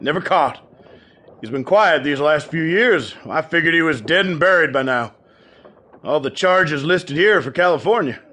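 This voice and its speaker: An actor playing a proud, brusque town sheriff in a radio drama. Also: male voice, on the high side, expressive, normal speed, pushed and bright. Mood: gruff. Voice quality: brusque, commanding.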